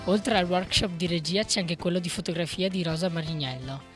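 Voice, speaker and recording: A woman's voice: lowish voice, brisk. A woman news presenter reading scripted newsreel narration. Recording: music playing behind the voice.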